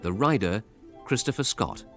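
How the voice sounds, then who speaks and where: A man reading formal scripted radio drama credits in a measured announcer's manner. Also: on the low side, animated. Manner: measured, clearly enunciated. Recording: orchestral string music behind voice.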